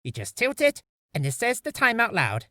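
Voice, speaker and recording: A woman's voice: fairly high pitch, wildly swooping pitch, normal speed, bright, forceful tone, no room sound, studio quality, full character voice. A woman voicing a stern, formal courtroom prosecutor from a video game, with pointed delivery. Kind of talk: video game character voice acting.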